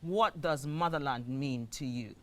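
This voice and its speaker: A man's voice: fairly high pitch, unhurried, a bit bright. Ghanaian man hosting a talk show. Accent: Ghanaian English.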